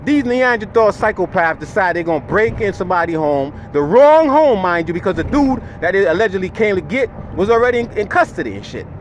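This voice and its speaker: A man's voice: high voice, sing-song, fairly quick, few pauses. A man with a Jamaican accent ranting profanely to his viewers while driving, often stumbling and repeating words, with faint road noise from his vehicle. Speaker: vlogger. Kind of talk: ranting commentary to camera. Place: inside a large vehicle, driving.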